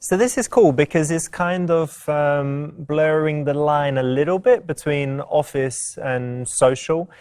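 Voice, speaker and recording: A man's voice: on the high side, measured pace, hardly any pauses, darkish tone, smooth. A man hosts a casual advice segment. He talks through options in an explanatory, conversational manner, as if reviewing what just played out. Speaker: dating-advice host who also plays the lead. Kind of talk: casual advice commentary with acted office scenes.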